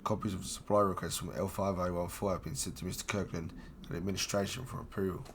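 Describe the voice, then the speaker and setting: A hoarse man with a very low, deep voice reads in-game documents aloud during a gameplay stream. His delivery is flat and steady, with casual swearing. Clear background noise from the room hums under the recording. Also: fast talker. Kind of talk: casual let's-play commentary and reading game documents aloud.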